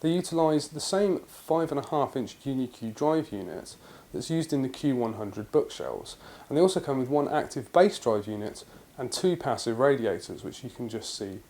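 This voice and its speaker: Male British presenter, mid-pitched voice, explanatory and matter-of-fact, semi-scripted review narration. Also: lively intonation, normal speed, hardly any pauses, warm tone. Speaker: presenter of product review videos.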